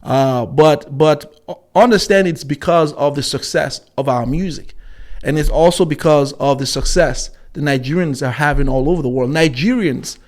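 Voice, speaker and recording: A man giving unscripted opinion commentary as a host, haltingly, repeating words and often checking in with "right?". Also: fairly high pitch, a little mellow.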